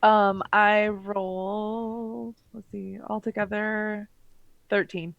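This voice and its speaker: A woman talks casually as a player in a tabletop game. Her voice is of medium female pitch, and the recording is dry and free of room echo. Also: level tone, slow, vocal fry, highs cut off.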